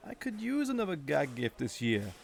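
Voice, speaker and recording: An actor playing a haughty, sardonic villain commander in a roleplay audio drama, condescending and drawling, with mock-sweet menace. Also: male voice, a little high, wildly swooping pitch, moderate pace, gravelly, a little hiss or hum, exaggerated voice. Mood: sarcastic, threatening.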